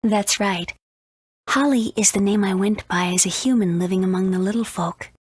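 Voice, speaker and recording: Woman voicing a very breathy, gentle butterfly spirit. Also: medium pitch, moderate pace, lightly acted. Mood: serene, grateful. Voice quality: soft, breathy, whispery. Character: gentle female butterfly spirit in human guise. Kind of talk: video game character acting.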